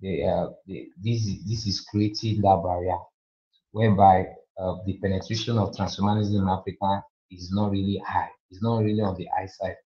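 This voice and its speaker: A man speaks earnestly and haltingly in a deep, monotone voice. His tone is dark and mellow. The call audio is dull and lo-fi. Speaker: panelist. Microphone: video call.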